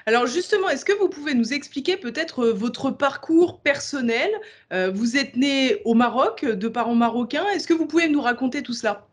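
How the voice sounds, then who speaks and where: A woman hosting an interview, putting questions in a polite, measured way with some hesitant phrasing. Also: high voice, lively intonation, slightly rough. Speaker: interviewer, host.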